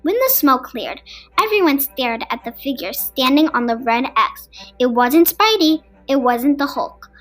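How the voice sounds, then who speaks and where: High-pitched female storybook narrator over music. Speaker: storybook reader for a podcast. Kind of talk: scripted children's story narration. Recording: music behind the voice. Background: background music.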